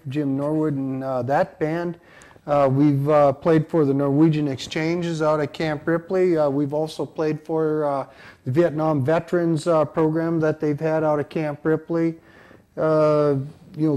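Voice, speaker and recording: A man in his fifties or sixties from central North Dakota, answering casually in an interview and often pausing mid-phrase. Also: a little high, warm tone. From central North Dakota, United States. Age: 50s to 60s. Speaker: band member being interviewed.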